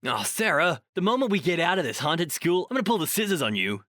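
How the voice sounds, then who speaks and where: A young, self-assured paranormal investigator played by a man with an animated, swooping delivery and a dry close sound. He is sarcastic and a bit bossy, as heard in audio drama. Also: on the high side, quick pace, no room sound. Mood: wry.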